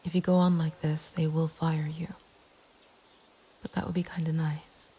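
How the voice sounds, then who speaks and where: A woman narrating a scary story in a breathy, hushed ASMR whisper, slow and deliberate. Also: low voice, little pitch movement. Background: nature ambience.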